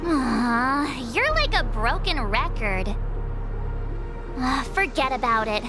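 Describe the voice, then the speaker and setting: A woman voicing a small, childlike, plucky companion character in a video game, expressive and protective, over background music. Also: high-pitched, slow, full character voice. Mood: grudging. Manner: animated, expressive.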